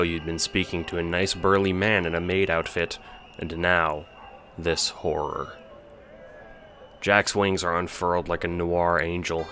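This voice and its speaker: A man's voice: low voice, bright, forceful tone. A man narrating an audio drama in a dramatic, scripted storytelling style, with music behind him.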